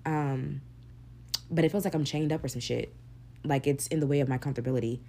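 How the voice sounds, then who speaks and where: A young woman hosting her own podcast, talking candidly and casually, swearing freely, with a deep, gravelly voice. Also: steady tone, fairly quick, warm tone. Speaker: podcast host. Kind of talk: casual solo podcast monologue.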